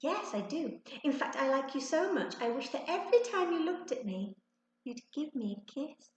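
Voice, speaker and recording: A young schoolgirl played by a woman reading a storybook, sweet yet stubborn. Her voice is lifted high and she insists firmly. The sound is echoey and roomy. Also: sing-song, normal speed. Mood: insistent.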